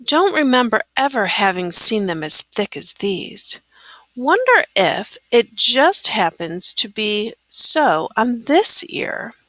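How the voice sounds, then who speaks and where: Woman as a shrewd storybook crow, animated and musing. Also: on the high side, no echo, heavily acted, rough recording. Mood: puzzled musing. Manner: deliberate muttering, musing.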